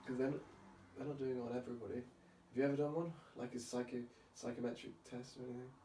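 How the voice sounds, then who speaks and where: A chatty man hosting a casual podcast, full of questions and quick jokes. He sits back from the mic in a roomy space, with a faint hiss underneath. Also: medium pitch, little pitch movement, warm tone, far from the mic. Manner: chatty, asks lots of questions, jokey. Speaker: podcast host. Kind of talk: casual chat.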